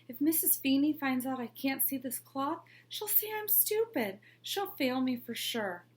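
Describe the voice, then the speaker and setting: A woman reading aloud, voicing an excitable schoolboy who gets eager and worried in turns. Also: sits high, unhurried, pitched above the performer's own voice.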